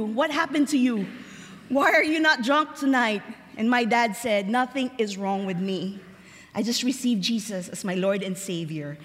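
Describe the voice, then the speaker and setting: A young woman from the Philippines, giving a personal testimony as a chapel speaker, chatty and slowing down for emphasis. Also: mid-range voice, fairly bright tone. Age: young adult. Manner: conversational, laughs easily, slows for emphasis. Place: large chapel. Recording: long hall reverb.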